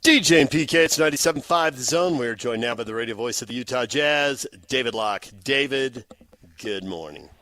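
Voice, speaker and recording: A man's voice: mid-range voice, expressive, a little fast, sharp tone. A man with a mid-range voice works as a sports radio host. He puts questions conversationally, with a wry, playful touch.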